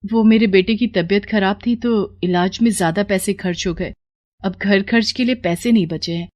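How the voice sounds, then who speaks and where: Humble anxious housewife, played by a woman: plaintive, deferential, medium pitch, moral-tale dialogue. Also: normal speed, a little mellow, lightly acted. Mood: worried, pleading. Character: poor, worried, dutiful wife and housemaid. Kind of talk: Hindi moral story dialogue.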